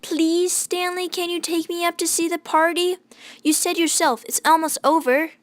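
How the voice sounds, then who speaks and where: Woman putting on a high, pleading little girl. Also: flat delivery, normal speed, dry recording. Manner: childlike, pleading. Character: lonely, pleading little girl, sweet and curious. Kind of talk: radio drama acting.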